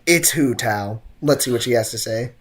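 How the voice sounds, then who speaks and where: A man's voice: medium pitch, normal speed. A young man giving casual, slangy game commentary and joking with his livestream chat. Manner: slangy, jokey, theatrical outbursts.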